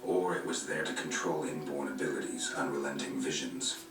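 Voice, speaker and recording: An actor playing a gruff, world-weary monster hunter in a video game, terse and understated, with a gravelly voice. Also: male voice, steady tone, fairly quick. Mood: weary, matter-of-fact. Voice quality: gravelly, low. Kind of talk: video game cutscene dialogue. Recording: roomy game playback, slightly distant, faint music.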